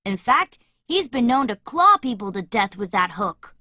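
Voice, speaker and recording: A woman voicing a bold, confident boy adventurer who leads other children in an animated children's story, speaking in a commanding, dramatic way. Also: mid-range voice, moderate pace, dry recording, clean studio sound. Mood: grave, boastful.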